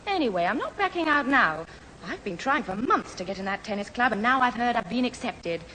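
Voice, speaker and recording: A woman's voice: fairly high pitch, big pitch swings, fairly quick, bright, forceful tone. Swooping, indignant young woman. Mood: earnest. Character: prim, indignant young woman, bossy older sister. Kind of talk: radio sitcom acting. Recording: hissy old radio recording, slight hall.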